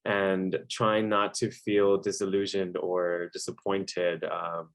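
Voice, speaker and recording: A man's voice: low voice, level tone, takes it slowly, a bit bright. A man in his thirties speaking carefully and in a subdued way in an interview. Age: 30s. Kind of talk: recorded interview testimony.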